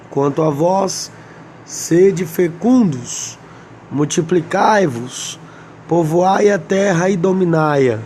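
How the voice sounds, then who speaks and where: A man with a Brazilian Portuguese accent, slightly hoarse, teaching a Bible lesson and reading scripture slowly with many pauses. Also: high voice, mellow, slight background noise. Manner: slow, deliberate, pausing often, asks "amém?".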